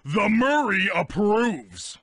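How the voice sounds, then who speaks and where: High, excitable big goofy hippo strongman. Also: takes it slowly, no room sound, exaggerated voice. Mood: heroic boasting. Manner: bombastic, speaks of himself in third person. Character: big, dim, loyal cartoon hippo strongman, boastful and childlike. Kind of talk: video game voice acting.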